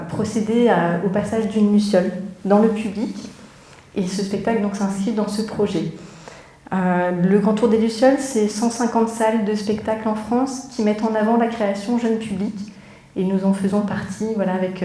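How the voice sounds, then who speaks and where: A woman's voice: medium pitch, mellow. A woman, a theatre director, addressing an audience live from a theatre, in the manner of a welcome and read-aloud story. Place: theatre auditorium, before an audience. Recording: roomy echo, music underneath.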